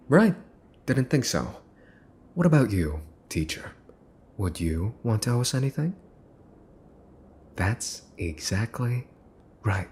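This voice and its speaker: A man's voice: on the low side, darkish tone, slight background noise. A man playing a mafia boss's son in an ASMR roleplay, smug, teasing and menacing, with a mocking theatrical drawl. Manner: mocking, theatrical drawl with mock-cute wording. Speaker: voice actor.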